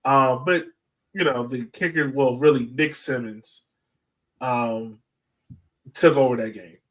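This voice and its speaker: A man's voice: medium pitch, slow pace, poor audio. Casual man hosting sports chat. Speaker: sports podcast host.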